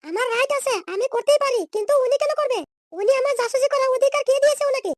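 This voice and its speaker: Squeaky cartoon young woman, acted by a man, very fast, scolding, brash, slangy, dry close sound. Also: no echo. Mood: furious. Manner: scolding, threatening, slangy insults. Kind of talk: comedy cartoon dialogue.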